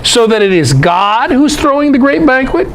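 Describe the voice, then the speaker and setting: A man's voice: extremely high pitch, very animated, moderate pace, slight background noise. A man giving an informal, irreverent scripture lecture in an emphatic way, often putting rhetorical questions to his listeners. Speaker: Bible teacher, presenter.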